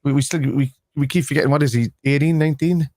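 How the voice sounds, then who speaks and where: A man's voice: on the high side, fairly even intonation, fast talker, a little mellow, no room sound, clean studio sound. A man with a Liverpool accent, co-hosting a football fan live stream, talking casually and rambling with restarts a day after having a tooth pulled. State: speaking after a tooth extraction.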